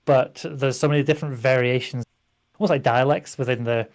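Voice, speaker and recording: A mid-pitched British man talks as a guest on the stream. He is chatty and upbeat, and he speaks at an ordinary pace. He tells the audience about his tabletop game channels. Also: steady tone, fairly quick. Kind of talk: casual chat.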